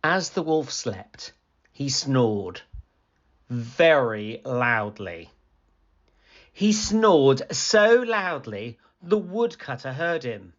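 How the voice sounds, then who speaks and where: A woman reading a children's story aloud as scripted narration, expressive and deliberate. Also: extremely low pitch. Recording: slightly dull, lo-fi sound.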